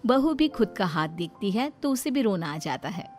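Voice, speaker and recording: A woman narrating a cartoon family story in a plain, steady storytelling way. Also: medium pitch, moderate pace, faint music behind.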